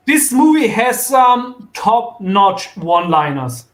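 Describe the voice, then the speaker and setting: A man's voice: high voice, very animated, takes it slowly. A man chatting casually and enthusiastically about what he likes, on a group call from an echoey room with faint noise. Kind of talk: casual movie chat.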